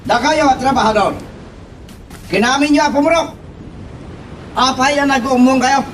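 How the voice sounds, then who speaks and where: An actor playing a haughty young master confronting farm workers, with a Filipino accent, in a radio drama. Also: male voice, very high voice, measured pace, fairly bright tone, far from the mic, slight background noise, rough recording. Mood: angry, haughty.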